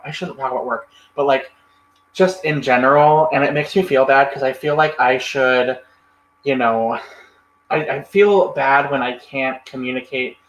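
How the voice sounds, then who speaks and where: A man's voice: mid-range voice, a bit bright, echoey room, highs cut off. A man hosting a casual livestream, reading and answering chat comments in a rambling, friendly way with lots of fillers and restarts. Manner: friendly, thanks viewers often. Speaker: livestream host.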